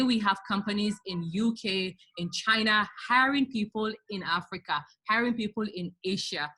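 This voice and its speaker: A woman's voice: mid-range voice, fairly bright tone. Emphatic woman hosting a career webinar. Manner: emphatic, addresses listeners with rhetorical questions. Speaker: webinar host and career speaker. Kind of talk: motivational advice to an online audience.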